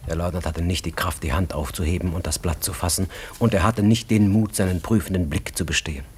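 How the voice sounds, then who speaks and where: A man reading literary, scripted narration for a radio drama in a husky voice. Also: sits low, fairly even intonation, brisk.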